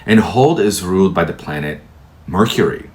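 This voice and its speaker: A man whose first language is Portuguese, calmly explaining tarot in a measured, soft-spoken way. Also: sits low. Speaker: tarot teacher. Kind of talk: calm explanatory lecture.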